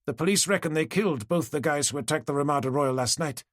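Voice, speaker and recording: A man voicing a glamorous middle-aged woman who turns hysterical and scornful, raising his voice to breathless, shrill cries. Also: quick pace, no echo, studio quality, natural voice. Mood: brisk.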